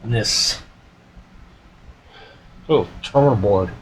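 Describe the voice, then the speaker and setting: A man's voice: on the low side, slow. Folksy man muttering over noisy home video. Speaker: radio repair hobbyist. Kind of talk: casual unscripted repair commentary.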